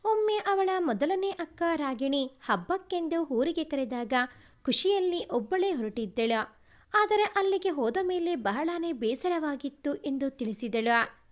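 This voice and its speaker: A woman reads a sentimental family story aloud as a storyteller, in a measured, formal way. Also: high voice, lively intonation. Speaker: storyteller, narrator.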